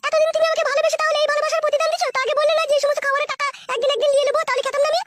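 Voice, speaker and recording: An actor voicing a coy, quarrelsome young woman in a comic cartoon, with an artificially pitched-up voice. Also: level tone, racing, very noisy. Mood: indignant.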